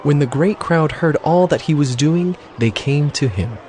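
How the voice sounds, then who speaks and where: A man narrating scripture as a solemn story, with clear phrasing, in a dramatized audio reading. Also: on the high side, measured pace, a little mellow, a little hiss or hum. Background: music.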